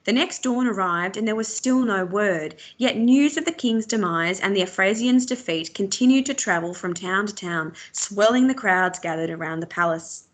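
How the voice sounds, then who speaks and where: Female storybook narrator, medium pitch, measured and expressive delivery, teacher reading to students.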